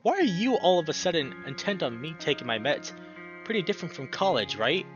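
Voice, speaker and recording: A man reads as a troubled young male character in a visual novel, brooding and resentful with a sardonic edge. The audio is slightly dull, over quiet music. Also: fairly high pitch, big pitch swings, brisk, voice pushed up. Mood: bitter, provoking.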